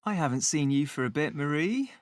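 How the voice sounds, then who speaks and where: A man's voice: fairly high pitch, lively intonation, fairly quick, no room sound, lightly acted. A man playing a keen young food science student in a scripted discussion.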